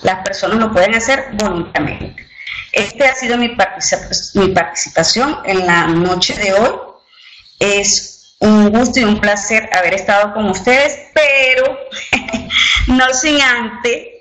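A woman's voice: mid-range voice, slow pace, a bit bright. A woman presenting at an online company event, courteous and formal, with filler words. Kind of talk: webinar presentation. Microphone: computer mic on a web conference. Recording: roomy web conference audio, faint noise.

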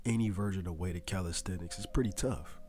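A man's voice: low voice, fairly quick, darkish tone, faint music behind. A young man giving a casual, slangy motivational voice-over about training, talking straight to his viewers like a coach. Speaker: fitness vlogger.

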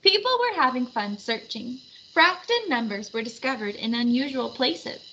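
Woman narrator, children's read-aloud, very expressive pitch, slightly dull recording with faint noise. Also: normal speed. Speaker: storybook reader.

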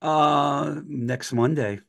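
A man in his sixties with a softened Boston accent, chatting casually and jokily as a podcast interviewer. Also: fairly high pitch, steady tone, drawn-out words, gravelly. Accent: Boston, softened by years in Los Angeles. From Boston area, US. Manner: jokey, informal. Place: small home room on a video call. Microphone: desk microphone with earphones.

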